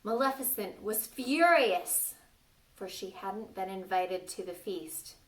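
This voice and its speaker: A woman's voice: mid-range voice, expressive, slow. A woman reading a story aloud to young children, playfully stopping to ask them questions and exclaim. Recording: distant microphone.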